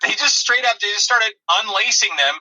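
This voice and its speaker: Male comic podcaster: piercingly bright tone, aggrieved, stumbling, off-the-cuff banter delivery. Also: high-pitched, lively intonation, low-quality recording. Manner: defensive, halting, aggrieved. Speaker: comedy podcast co-host.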